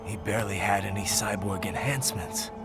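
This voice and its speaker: Grim cyborg warrior, low gravelly growl, dry sarcasm, loud game music under it. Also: male voice, fairly even intonation, a little fast. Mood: subdued. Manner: terse, dry, menacing. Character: grim, sardonic male cyborg swordsman. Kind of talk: video game voice acting.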